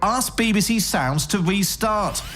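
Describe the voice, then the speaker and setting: A man's voice: sits high, lively intonation, measured pace. A man reading a scripted radio promo as a voice-over. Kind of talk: scripted advertising trail. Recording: produced trail over hip hop music.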